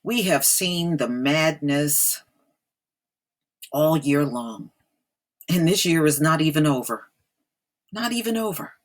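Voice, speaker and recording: Slow, blunt American woman commentator. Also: sits low, lively intonation. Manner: emphatic, repeating phrases for effect; blunt, disapproving. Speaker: online commentator. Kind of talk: informal opinion commentary.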